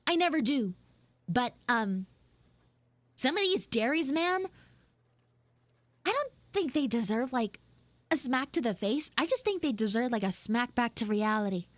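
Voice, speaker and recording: A woman voicing a defensive, flustered tsundere anime schoolgirl who acts mean but is secretly soft, in an improvised livestream roleplay. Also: a little high, lively intonation, no echo. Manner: defensive, pouty, halting and repetitive. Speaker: livestreamer and VTuber voice actress. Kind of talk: improvised live audio roleplay with chat asides.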